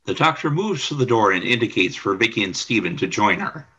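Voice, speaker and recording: Narrator for audio drama: man, slightly low, raspy edge, bright forceful delivery of scripted action. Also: lively intonation, a little fast. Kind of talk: scripted radio play narration.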